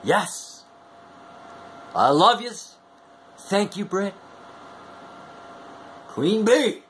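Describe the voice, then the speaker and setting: A man performs a theatrical wrestling-style monologue as a showman. His fairly high voice leaps up and down dramatically, and he stretches his words out very slowly for effect. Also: lively intonation, a bit bright, slight background noise. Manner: bombastic showman, drawn-out words, sudden whoops. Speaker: wrestling fan video host. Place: small room at home.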